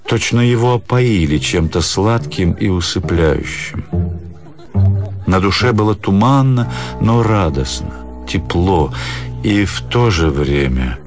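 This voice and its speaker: A man narrating a literary radio play in a measured, expressive, dramatic storytelling manner. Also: deep voice, soft background music.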